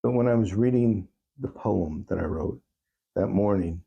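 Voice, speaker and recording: A man speaking as an interview guest, telling personal stories in a reflective, halting and earnest way. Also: fairly low pitch, creaky, slightly rough, muffled recording. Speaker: talk show guest, songwriter.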